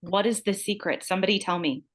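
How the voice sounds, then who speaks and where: A woman's voice: medium pitch, quick pace, natural voice. A woman acting a young beauty queen who is a wounded, betrayed friend, in a screenplay table read. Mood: bewildered, hurt.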